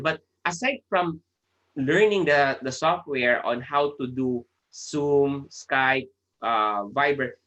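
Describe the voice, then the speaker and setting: A woman host from the Philippines, mixing English and Tagalog in a slow, measured way. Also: extremely low pitch, a bit bright, dull audio. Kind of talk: casual interview hosting.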